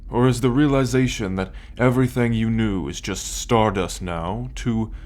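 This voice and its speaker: A man playing an arrogant, possessive alien soldier in an ASMR roleplay, condescending and menacing, speaking with deliberate pauses. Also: on the low side, a little mellow, natural voice. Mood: cold, taunting. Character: arrogant possessive male alien soldier, cold, darkly amused captor.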